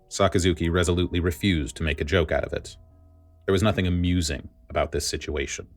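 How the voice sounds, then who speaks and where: A male narrator reads scripted fiction aloud. His voice is deep and low, and he delivers the prose as storytelling.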